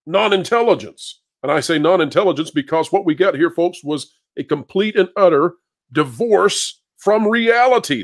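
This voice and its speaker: A man giving opinionated live commentary as a panelist, theatrical and sarcastic in a declamatory way. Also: on the high side.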